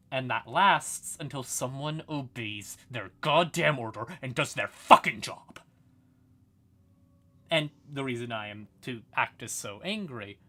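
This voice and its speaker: A man's voice: medium pitch, sharp tone. A man hosting a livestream, explaining fantasy lore in a casual, informal manner with some swearing. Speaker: live streamer and host.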